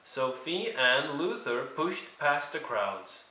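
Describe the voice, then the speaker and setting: A man reading a children's picture book aloud as narrator, deliberate, clearly phrased and expressive. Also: medium pitch, roomy sound, rough recording. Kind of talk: read-aloud storybook narration.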